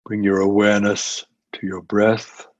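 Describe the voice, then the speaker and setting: A man with a low-pitched voice leads a guided meditation. He gives gentle instructions and leaves long, unhurried pauses between phrases. The recording is dry and somewhat muffled. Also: little pitch movement, no room sound. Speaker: meditation teacher. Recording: muffled, dull lo-fi audio.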